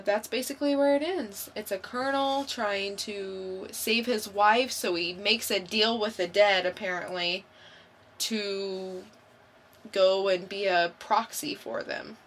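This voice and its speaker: Slow-paced woman in casual chat. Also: mid-range voice, fairly bright tone. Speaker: podcast co-host reviewing comics.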